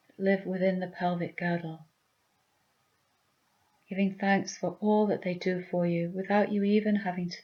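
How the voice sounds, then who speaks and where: A woman leading a guided meditation with gentle, soothing, deliberate instructions. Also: on the low side, muffled recording.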